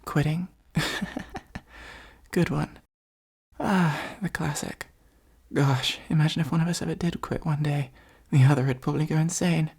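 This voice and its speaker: A woman playing a possessive supervillain who is secretly fond of a hero, in an intimate ASMR roleplay, speaking softly and breathily with an earnest, gently wry delivery. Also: low-pitched, a little slow, heavily acted. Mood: wry, dismissive. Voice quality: soft, husky, breathy, often near whisper.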